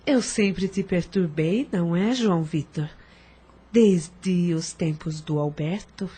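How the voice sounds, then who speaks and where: A woman's voice: on the low side, slow, warm tone, breathy edge. A woman playing a flirtatious, haughty socialite in an audio drama, coy and theatrical in manner.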